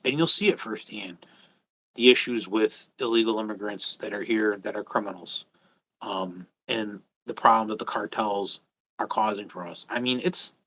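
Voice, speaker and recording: A man's voice: mid-range voice, bright, forceful tone, poor audio. A man hosting a casual livestream off the cuff, speaking bluntly and with strong opinions, repeating his phrases for emphasis. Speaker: host of a livestream.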